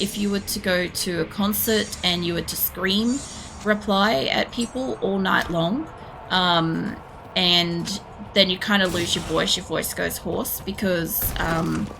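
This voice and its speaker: A young woman with a hoarse, worn voice, chatting casually and rambling while she streams a game at home, swearing now and then. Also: mid-range voice, background noise. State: hoarse, losing her voice. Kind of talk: casual chat while playing. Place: home room. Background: game music.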